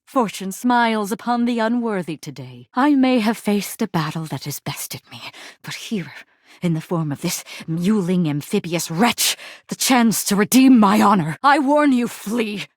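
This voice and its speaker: A woman's voice: mid-range voice, lively intonation, measured pace, dry recording, studio quality. A woman voicing a proud, tragic warrior general in a video game, declaiming in archaic, mock-Shakespearean speech with haughty anguish. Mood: haughty, menacing. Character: grandiose, tragically proud warrior general, a laundry detergent box.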